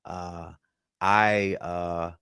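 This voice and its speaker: Man in his thirties, low voice, dry close mic, upbeat polished podcast guest. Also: fairly even intonation, drawn-out words, pushed and bright, clean tone, no echo. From Florida, USA. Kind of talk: conversational interview answers.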